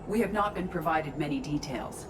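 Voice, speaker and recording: A woman's voice: sits low, expressive, moderate pace, noisy background. An actress playing a polite female artificial intelligence in a video game, formal and matter-of-fact with clinical, precise phrasing. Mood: calm, analytical. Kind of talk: video game cutscene acting. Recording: roomy echo with music underneath.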